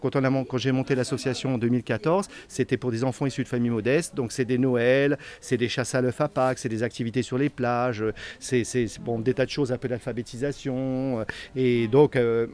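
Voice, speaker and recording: A middle-aged man, the founder of a charity, answering interview questions in an earnest, casual way, often repeating words and filling pauses. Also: mid-range voice, darkish tone. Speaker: association founder.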